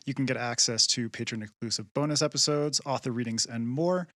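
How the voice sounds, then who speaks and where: A man's voice: medium pitch, brisk, a bit bright, dry recording. A man co-hosting a podcast, reading the show's plugs and then interviewing a guest with friendly enthusiasm. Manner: friendly, enthusiastic, some stammering restarts. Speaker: podcast co-host. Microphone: video call.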